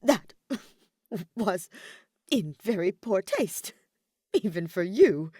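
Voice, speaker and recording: A woman's voice: medium pitch, very animated, very slow, warm tone, breathy edge, no echo, clean studio sound, exaggerated voice. A woman voicing a proud, earnest young nobleman student with an indignant, formal, emphatic manner in an audiobook. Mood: scandalised. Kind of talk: audiobook character acting.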